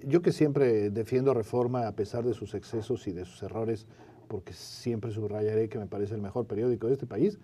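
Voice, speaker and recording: A Mexican man of average pitch talks as a studio guest in an unscripted discussion. He states his opinions outright and often adds a short tag question to check agreement. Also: dark tone. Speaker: interview guest, news editor. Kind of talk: spontaneous studio discussion of the media.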